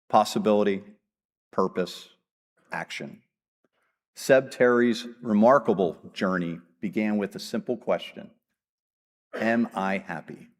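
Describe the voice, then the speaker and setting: A man with a fairly low voice reads a formal prepared speech from a convention stage. He speaks in a measured, scripted way. The big hall gives his voice a long, lingering reverb. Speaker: association executive introducing the keynote.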